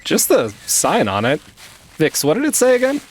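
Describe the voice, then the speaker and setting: A man's voice: fairly high pitch, huge pitch swings, normal speed, a little hiss or hum. A man playing a wary, casual thief in a fantasy audio drama, speaking in terse lines. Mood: casual, puzzled.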